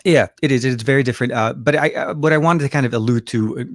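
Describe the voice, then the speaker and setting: A man's voice: mid-range voice, quick pace, dry recording, clean studio sound. A man from Lebanon co-hosting a casual tech show, playful and chatty with lots of fillers. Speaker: co-host.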